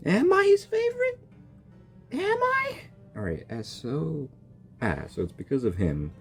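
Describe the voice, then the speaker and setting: A young man reacting to a video game for his channel, joking and excitable, talking back to the characters. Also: a little high, measured pace, faint music behind. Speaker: gaming YouTuber. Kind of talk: casual game reaction commentary.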